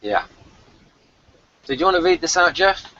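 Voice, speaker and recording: A man's voice: sits high, expressive, normal speed, bright, forceful tone, slight background noise. A man hosting a casual review discussion on a video call, rambling and thinking aloud as he goes. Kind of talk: casual unscripted chat. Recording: dull lo-fi video call audio.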